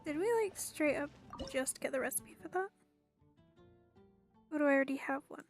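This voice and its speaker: Female gamer, high voice, casual self-directed play commentary, light music underneath. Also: normal speed. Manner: offhand, muttering to self.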